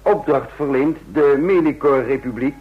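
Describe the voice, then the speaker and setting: An actor playing a shrewd government intelligence adviser in a radio drama, articulate, rhetorical and persuasive. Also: male voice, mid-range voice, moderate pace, slightly rough, natural voice. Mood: urgent, emphatic. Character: shrewd, insistent male intelligence adviser to a president. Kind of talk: radio drama acting with first-person narration. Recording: dull, lo-fi radio drama recording.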